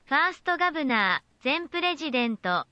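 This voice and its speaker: A synthetic female voice reading out stream donation messages with a rapid, clipped delivery. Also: high-pitched, sing-song, pushed and bright, dry recording, muffled recording, faint music behind. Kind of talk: text-to-speech readout of donations.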